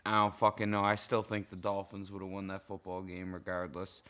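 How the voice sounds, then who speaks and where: A man in a hoarse, cracking voice, a little drunk, giving a profane, heated, rambling reaction to a football game. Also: low-pitched, completely flat delivery, a little fast, pushed and bright. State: hoarse, intoxicated. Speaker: sports fan vlogger. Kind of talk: casual game reaction rant.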